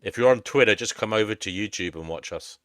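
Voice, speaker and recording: Guy hosting a live stream, bright crisp voice, dry close sound, casual chat. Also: lowish voice, quick pace, dry recording. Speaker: host of a live panel stream.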